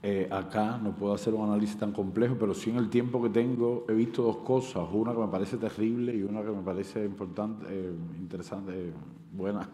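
A man from Cuba in his sixties with a Cuban accent, speaking on a panel debate, animated and hesitant, on a headset mic on an auditorium stage. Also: lowish voice, a little fast. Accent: Cuban Spanish. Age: 60s. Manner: animated, gestures widely, many hesitations. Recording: long hall reverb.

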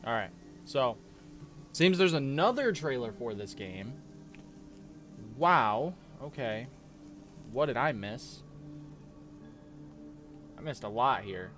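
A young man giving casual, excitable reaction commentary as a gaming streamer, often repeating words. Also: medium pitch, slow, pauses often. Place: small cluttered gaming room at home. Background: game trailer music.

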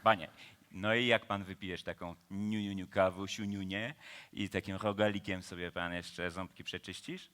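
A man's voice: low-pitched, fairly even intonation, moderate pace, a bit bright. An actor playing a laid-back Parisian man-about-town in a comic radio operetta, teasing, chuckling and persuading. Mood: persuasive. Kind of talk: comic radio operetta acting, breaking into song.

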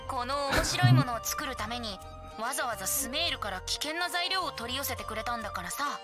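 A woman's voice: high voice, animated, a little fast, over music. A woman voicing a carefree, playful young male bard in an anime game, with a light, teasing, breezy manner. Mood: breezy, cheerful. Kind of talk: Japanese anime game voice acting.

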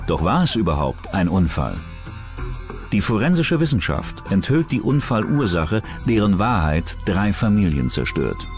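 Male true-crime narrator, low register, animated melody, scripted read with a music bed. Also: moderate pace. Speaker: documentary narrator. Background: background music.

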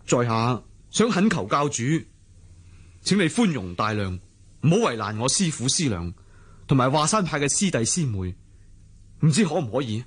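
Deferential young martial-arts hero for radio drama; lively intonation, slightly rough voice, Hong Kong Cantonese accent. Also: male voice, medium pitch, normal speed, pauses often. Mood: earnest pleading. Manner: deferential, earnest. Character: loyal, humble, earnest young swordsman hero.

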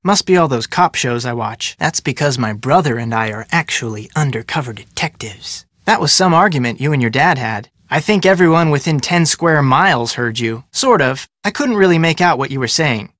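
An actor playing an upbeat, polite but persistent young detective in a video game, with an American accent and a casual, earnest delivery. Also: male voice, medium pitch, expressive, normal speed, hardly any pauses, fairly bright tone, no echo, clean studio sound, lightly acted. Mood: curious, casual. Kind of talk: scripted video game dialogue.

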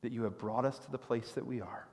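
A man in his thirties preaching a sermon from a church stage, telling stories with animated gestures. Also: mid-range voice, fast talker, vocal fry. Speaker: pastor. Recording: long reverb of a church hall.

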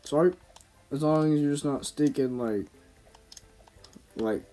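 A man's voice: fairly high pitch, a little slow, dark tone, faint music behind. A man giving casual, rambling gameplay commentary in slangy, informal speech, as a gaming YouTuber. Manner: slangy, informal, talking to himself and viewers.

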